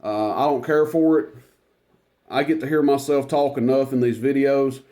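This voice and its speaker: Man, loud voice, mid-range pitch, easygoing hobbyist showing off radio gear, rambles with plenty of "uh"s. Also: normal speed. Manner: relaxed, rambling, many fillers. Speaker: CB radio reviewer. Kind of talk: casual product demonstration.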